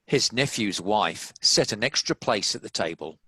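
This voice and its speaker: A man's voice: on the low side, animated, normal speed, sharp tone, slightly rough, no echo. A man with a New Zealand accent narrating a scripted classic story.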